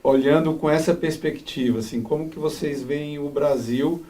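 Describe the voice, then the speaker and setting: Mellow-voiced male panel moderator. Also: a little high, steady tone, moderate pace. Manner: questioning, lots of filler "né" and "é". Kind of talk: panel discussion.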